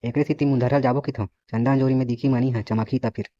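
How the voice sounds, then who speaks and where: A man's voice: mid-range voice, steady tone, fast talker, mellow, close to an everyday voice. A man voicing a bossy, boastful snake-charmer in a comic cartoon, scolding brashly with a Chhattisgarhi accent. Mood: irritated scolding. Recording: dull lo-fi audio, faint noise.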